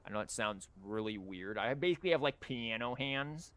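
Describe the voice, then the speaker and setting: A man's voice: medium pitch, lively intonation, normal speed, fairly bright tone, no echo. A man livestreaming a game, chatting casually with joking, rambling asides and reading dialogue aloud. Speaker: game streamer. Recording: music behind the voice. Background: game music.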